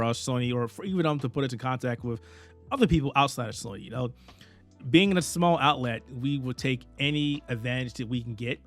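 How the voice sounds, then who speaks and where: A man of middling pitch talks straight to camera about his small outlet, earnest and rambling, tripping over words and circling back as he goes. His voice is wearing thin, and faint music plays underneath. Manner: rambling, earnest, stumbles and restarts often. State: losing his voice. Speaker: head of a small gaming and anime media outlet. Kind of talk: casual talk to camera.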